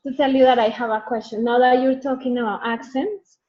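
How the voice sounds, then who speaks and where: A woman's voice: high-pitched, steady tone, a little fast, a little mellow. A female student who politely apologizes before asking her teacher a question in an online class. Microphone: video call. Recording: muffled video call audio.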